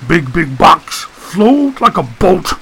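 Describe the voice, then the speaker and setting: A man's voice: on the high side, big pitch swings, slow, vocal fry, noisy background, pitched above the performer's own voice, heavily acted. A man voicing a huge, simple-minded, childlike man who repeats himself in short broken phrases in an audiobook. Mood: simple, earnest.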